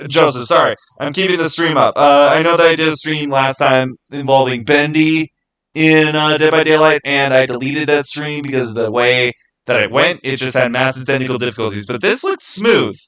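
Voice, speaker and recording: A man chatting casually to viewers as a livestream host, earnest and rambling, with halting starts and repeated phrases. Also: mid-range voice, fairly bright tone, low-quality recording. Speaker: streamer, channel host.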